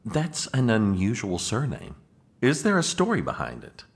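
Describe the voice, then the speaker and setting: An actor playing a long-suffering, exasperated political aide with a dry, weary manner in a comedic audio drama. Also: male voice, fairly low pitch, wildly swooping pitch, normal speed, vocal fry, full character voice. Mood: dry, skeptical.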